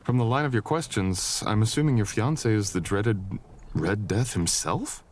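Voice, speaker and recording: Male actor as mysterious fantasy wanderer, very low voice, soft-spoken, courteous and teasing, romantic ASMR roleplay, light ambient noise behind. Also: close to an everyday voice. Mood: teasing, friendly. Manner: soft-spoken, slow, courteous old-fashioned phrasing, chuckling.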